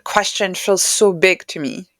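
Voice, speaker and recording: A woman who is not a native English speaker talks as a podcast guest, in a reflective, conversational way. Her voice sits a little low for a woman. The sound is very dry, with no room around it. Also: steady tone, unhurried, fairly bright tone, no room sound. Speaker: podcast guest, writer and neuroscientist.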